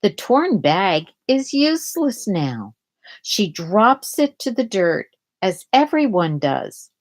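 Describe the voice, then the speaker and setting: A woman reads a children's storybook aloud at a slow, deliberate pace. Her voice sits at an average pitch, and she explains words and events simply for young listeners. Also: big pitch swings. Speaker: storybook reader. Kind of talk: read-aloud of a children's picture book with explanatory asides.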